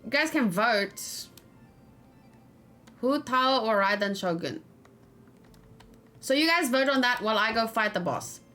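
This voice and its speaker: High-voiced woman chatting through a game stream. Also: animated, a little slow, long pauses, bright, forceful tone, soft background music. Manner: thinking aloud, informal, talking to chat. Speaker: video game streamer, let's play host. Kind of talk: casual live game commentary, chatting with viewers.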